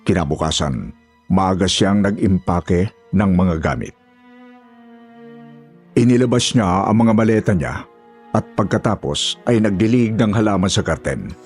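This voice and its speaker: Low-pitched male horror story narrator. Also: measured pace, long pauses, a little mellow, soft background music.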